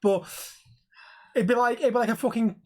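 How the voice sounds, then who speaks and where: A man co-hosting a podcast, with a fairly high voice for a man. He swears a lot, laughs easily, and slips into acted-out quotes mid-story. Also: fairly even intonation, moderate pace, darkish tone. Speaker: podcast co-host. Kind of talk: casual podcast banter.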